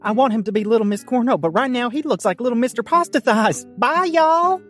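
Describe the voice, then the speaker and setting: A man's voice: very high voice, animated, fast, a bit bright, faint music behind, voice pushed up, heavily acted. A man voicing a flustered, folksy small-town pageant mother in a hammy comic audio play.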